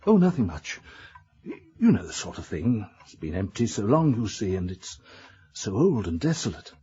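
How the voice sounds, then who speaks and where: A man's voice: lowish voice, big pitch swings, normal speed, warm tone, breathy edge, exaggerated voice. A man playing a fussy, evasive small-town British letting agent in a radio drama, hesitant, rambling and eager to please. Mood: evasive, uneasy. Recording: dull lo-fi radio drama recording.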